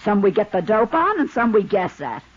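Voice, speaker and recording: An actress playing a cagey, boastful fake psychic with a husky voice and slangy, ungrammatical patter in a 1950s radio drama. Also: female voice, medium pitch, sing-song, moderate pace. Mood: boastful, matter-of-fact. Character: cagey streetwise woman fake psychic, boastful about her tricks. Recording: dull lo-fi old radio recording.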